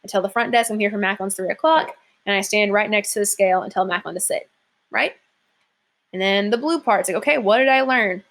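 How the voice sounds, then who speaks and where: A woman's voice: medium pitch, a little fast, fairly bright tone. A young adult woman teacher walking students through an assignment in a casual, chatty way with many fillers. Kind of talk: classroom lesson walkthrough.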